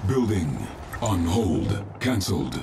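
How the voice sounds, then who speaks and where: An actor playing a calm, authoritative military announcer in a video game, giving clipped status reports. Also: male voice, sits low, slow, heavy background noise, full character voice. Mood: impassive, authoritative. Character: calm male military battlefield announcer computer for a militant faction. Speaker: mission announcer. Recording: loud music and battle sounds behind. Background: loud game music, vehicle sounds.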